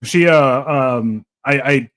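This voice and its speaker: A man's voice: mid-range voice, takes it slowly. A man co-hosting a podcast, joking around, laughing a lot and telling stories with energy. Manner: joking, laughs often, animated storytelling. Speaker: podcast co-host. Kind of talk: casual chat and joking banter.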